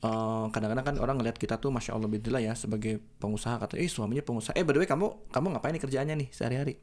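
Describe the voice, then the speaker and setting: Casual: 30s guy w hosting couple podcast, playful, laughy. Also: racing. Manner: playful, laughs often, swings loud and high when joking. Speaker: podcast host, businessman.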